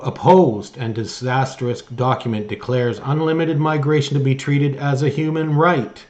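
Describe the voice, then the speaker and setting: Man giving halting, emphatic news commentary. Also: medium pitch, a little mellow, dull audio. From Canada. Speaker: host of an online news commentary show. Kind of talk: opinion commentary, partly reading articles aloud.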